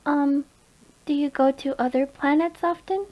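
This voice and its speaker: A woman's voice: high-pitched, fairly even intonation, unhurried, muffled recording. A woman voicing a shy, overwhelmed young alien from the countryside, hesitant and apologetic, in an audio roleplay. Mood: nervous, shy.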